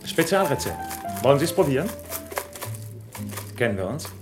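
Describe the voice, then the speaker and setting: A man's voice: medium pitch, sing-song, moderate pace, music in the background, lightly acted. An actor playing a matey but evasive club barman with a shady past in a radio crime drama, talking colloquially. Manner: colloquial, cagey. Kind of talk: German radio crime play acting, colloquial.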